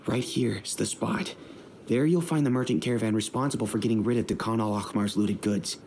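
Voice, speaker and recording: An actor playing a calm, good-humored male mercenary scout in a game. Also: fairly low pitch, fairly quick, a little hiss or hum, lightly acted. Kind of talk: video game cutscene dub.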